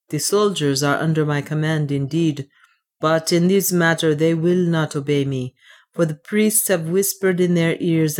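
A woman reading an audiobook, voicing an honest male soldier who speaks gravely and formally with sorrow. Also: low-pitched, darkish tone.

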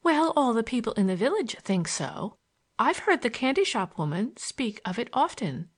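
A woman's voice: on the high side, very animated, moderate pace, voice pushed up. A woman voicing a playful, cheeky teenage schoolgirl with romantic notions, chattering in a teasing, girlish way for an audiobook.

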